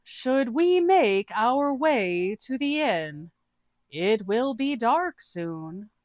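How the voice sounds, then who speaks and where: A woman voicing a dopey, guileless fantasy adventurer with drawn-out, deliberate speech in a read-aloud tabletop story. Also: fairly high pitch, big pitch swings, no room sound, voice pushed up, heavily acted. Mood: blunt, simple.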